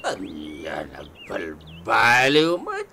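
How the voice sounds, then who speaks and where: A man's voice: low-pitched, wildly swooping pitch, extremely slow, sharp tone, a little hiss or hum, exaggerated voice. A man playing a drunken, boastful but fond father in a radio comedy drama, slurring and repeating himself with a Filipino accent. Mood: groggy, hungover.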